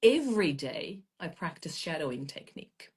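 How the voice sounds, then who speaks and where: A woman's voice: deep voice, very animated, normal speed, slightly rough. A woman of around forty whose first language is Azeri, answering interview questions in an emphatic, motivational way. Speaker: guest, language education expert.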